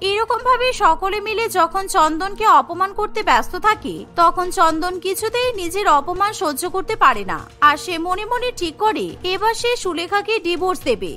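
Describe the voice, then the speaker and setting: A woman's voice: very high-pitched, expressive, normal speed, hardly any pauses, sharp tone, soft background music. A woman narrating a scripted cartoon story as its storyteller.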